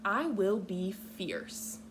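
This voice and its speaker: A woman leading a read-aloud lesson for young children, speaking patiently in simple, deliberate, instructional phrases. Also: mid-range voice, expressive, background noise. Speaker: kindergarten teacher reading a picture book aloud.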